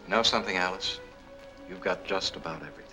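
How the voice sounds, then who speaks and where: An actor playing an earnest, lovestruck young American man, gentle and protective, in a 1940s Hollywood film. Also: deep voice, quick pace, natural voice, poor audio. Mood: tender, concerned. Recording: old film soundtrack, music behind.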